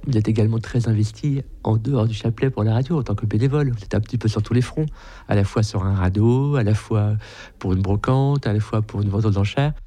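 A male radio host asks guests friendly questions at a quick clip. His voice sits low and sounds mellow. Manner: brisk, prompting.

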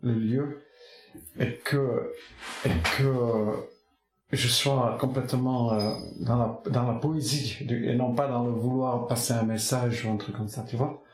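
Basque-born guy, basque his first language, soft poetic rambling w lots of restarts, middle pitch, echoey room. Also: steady tone. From Basque Country. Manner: soft-spoken, poetic, halting with many restarts. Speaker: artist interviewed. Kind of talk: reflective reminiscing interview.

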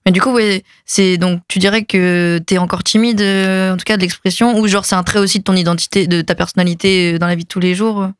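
An interviewer asking questions in a relaxed, chatty way, laughing easily. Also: mid-range voice, fast talker, hardly any pauses, clean tone, no echo, clean studio sound.

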